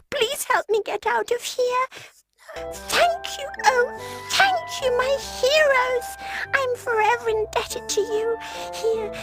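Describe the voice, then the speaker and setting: A woman voicing a timid nursery-rhyme little girl in a cartoon game. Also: extremely high pitch. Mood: grateful. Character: frightened nursery-rhyme little girl lost in spooky woods. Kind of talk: cartoon game character acting.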